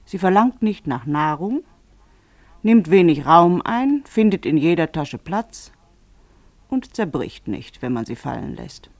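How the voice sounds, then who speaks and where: A woman narrating a radio play in a theatrical, deliberate way with drawn-out emphasis. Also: on the low side, very animated, no room sound, full character voice.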